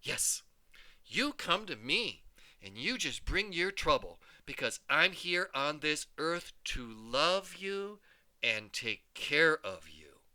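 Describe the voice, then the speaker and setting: A warm-hearted, worried working mother, read by a man doing a read-along. She speaks with soothing tenderness. Her voice rises and falls in a lively way and sounds bright. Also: fairly high pitch, slow pace, heavily acted. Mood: tender.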